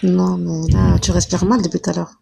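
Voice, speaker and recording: A woman calling in to a live stream, asking caring, gentle questions in casual chat. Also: on the low side, flat delivery, fairly quick, gravelly.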